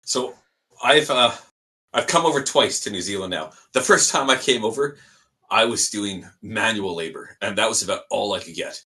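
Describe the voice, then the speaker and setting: A man's voice: lowish voice, normal speed. A young Canadian man with a Canadian accent, a podcast guest casually telling his story and acting out the people in it. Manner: animated, acts out quoted people in his stories.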